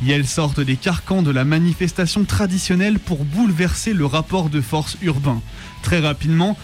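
A man reading a scripted documentary narration as a radio presenter. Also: a little high. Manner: reading from a prepared text. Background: music.

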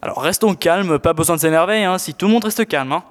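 A man's voice: sits high, quick pace, long reverb tail, lightly acted. A teenage man playing a sensible, exasperated sidekick in an audio drama, speaking casually with slang. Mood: placating. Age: teens. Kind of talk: scripted audio fiction acting.

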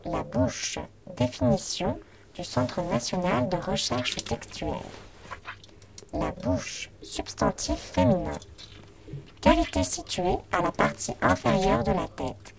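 A woman's voice: on the low side, huge pitch swings, slow, gravelly, long pauses, noisy background. A woman narrator reading out a dictionary definition in a radio sound piece.

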